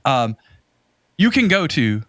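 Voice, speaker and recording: A man's voice: medium pitch, big pitch swings, takes it slowly, fairly bright tone, dry recording. Dry-sounding man in casual podcast banter. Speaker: podcast co-host.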